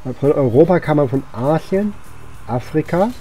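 A man's voice: on the high side, lively intonation, moderate pace, dark tone. A man gives casual, rambling video game commentary, thinking aloud as he plays. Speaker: gaming channel host. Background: game music and sound effects.

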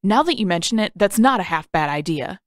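A woman's voice: mid-range voice, expressive, fairly quick, dry recording, lightly acted. Bitter teenage boy, read by a woman, cold, brittle, accusing, close dry recording. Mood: cold, stony. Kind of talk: audiobook character acting.

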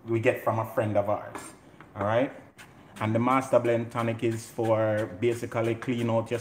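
A middle-aged man with a Jamaican accent, casually and playfully hosting a live home cooking demonstration. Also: lowish voice. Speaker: home cook and show host. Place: indoor home kitchen. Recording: roomy kitchen, clear noise, pot clatter.

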